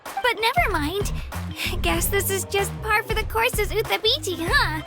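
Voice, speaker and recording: A woman's voice: very high voice, lively intonation, measured pace, heavily acted. A woman voicing an earnest, humble young girl warrior in a video game, girlish and bubbly but hesitant. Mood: dejected, brave-faced. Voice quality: girlish, youthful. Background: background music.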